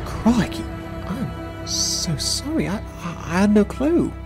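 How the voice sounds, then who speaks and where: A man's voice: high-pitched, big pitch swings, slow, gravelly, loud music behind the voice, heavily acted. A man playing an easygoing Australian cop. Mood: apologetic. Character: happy-go-lucky Australian male police officer. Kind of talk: audio drama acting.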